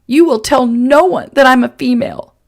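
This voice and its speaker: A woman voicing a stubborn, defiant young woman who speaks high and indignant. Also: normal speed, vocal fry.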